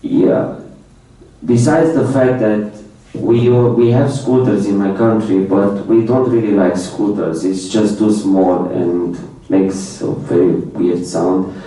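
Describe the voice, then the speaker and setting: A man's voice: fairly low pitch, steady tone, takes it slowly, warm tone. A young man whose first language is Romanian gives an informal slide presentation in plain, repetitive English. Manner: plain speech in non-native English with many repetitions, light laughs. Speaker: foreign student presenter. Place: university lecture room. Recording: echoey lecture room, mic at a distance.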